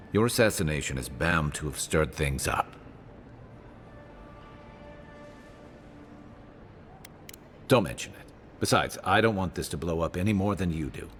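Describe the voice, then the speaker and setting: An actor voicing a dry-witted, loyal companion and guide in a video game, wry and matter-of-fact. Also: male voice, very deep voice, a little hiss or hum. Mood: reassuring. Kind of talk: video game character dialogue.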